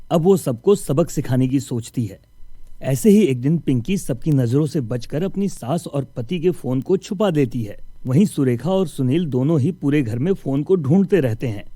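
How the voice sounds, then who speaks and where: A man narrates a scripted moral tale. His voice is medium in pitch and very dark and mellow, captured dry and close with no room sound. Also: dry recording.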